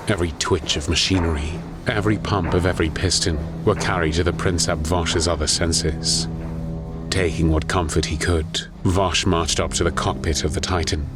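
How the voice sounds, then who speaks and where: A man giving grave, deliberate, dramatic narration of a scripted audio story. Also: deep voice. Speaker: narrator and voice performer.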